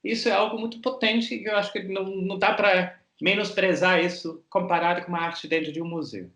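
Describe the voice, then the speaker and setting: A man, a museum director and curator, answering interview questions thoughtfully and pausing to search for words. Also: sits high, fairly even intonation, fairly bright tone, reverberant. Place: art museum gallery.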